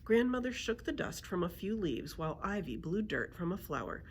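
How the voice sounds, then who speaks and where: A woman narrating a children's storybook aloud in an expressive read-aloud style. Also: mid-range voice, moderate pace, a little hiss or hum. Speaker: librarian reading aloud.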